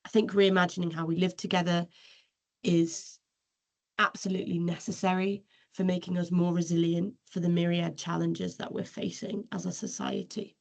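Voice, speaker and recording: A woman giving an earnest, measured research presentation over a video call webinar. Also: lowish voice, level tone, gravelly, a little mellow.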